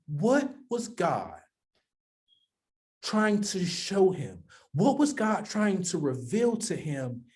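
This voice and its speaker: A man preaching a sermon, his voice sitting fairly high for a man. He reads a scripture passage aloud, then unpacks it in loose, conversational talk, circling back to repeat his main points. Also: warm tone.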